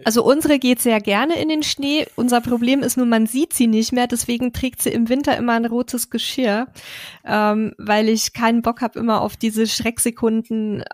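A woman's voice: fairly high pitch, steady tone, normal speed, no echo, clean studio sound. A woman in her thirties co-hosting a podcast, explaining things in a chatty way with fillers. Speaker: podcast co-host. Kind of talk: casual advice chat. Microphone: headset and studio mic.

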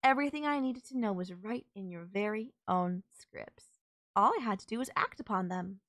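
Woman acting as obsessed female captor, creaky voice, dry close sound, teasing, controlling, audio drama. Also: medium pitch, moderate pace, fairly bright tone, dry recording, close to an everyday voice. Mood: gloating, possessive. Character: sultry, possessive, gloating female kidnapper obsessed with her captive. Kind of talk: intimate roleplay audio drama.

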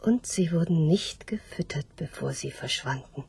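A philosophical sow in a radio play. Her voice is lowish and very husky, full of breath. She speaks in a solemn, measured way, with quiet hope. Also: animated, no echo, exaggerated voice. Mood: solemn, resigned. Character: hopeful philosophical sow awaiting her disappearance. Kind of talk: literary radio drama acting.

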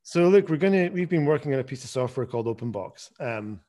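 A man's voice: medium pitch, lively intonation, fast talker, mellow. A man giving an informal webinar presentation over a video call, with frequent restarts and repeated words. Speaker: guest presenter, software founder.

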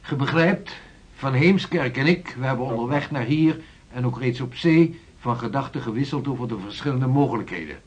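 An actor playing a seasoned, confident ship's navigator in a period radio drama, formal, courteous and firm. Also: male voice, mid-range voice, animated, moderate pace, slightly rough. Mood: assured. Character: seasoned, confident polar navigator Barends, around 1600.

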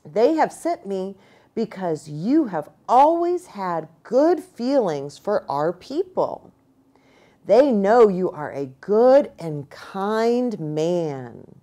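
A reader as a gentle, slow-spoken young buffalo woman. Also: mid-range voice, big pitch swings. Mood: earnest, loving. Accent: American.

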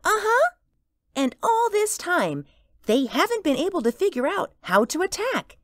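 A woman playing an excited, bossy and impatient little girl in a radio drama. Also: extremely high pitch, a little slow, dry recording, clean studio sound, exaggerated voice. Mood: earnest, eager. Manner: impatient, emphatic, gushing.

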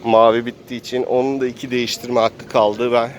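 A man's voice: mid-range voice, little pitch movement, a little fast, a little hiss or hum. A man improvising narration for a tabletop role-playing game, telling the action as if he were living it. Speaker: game master and player.

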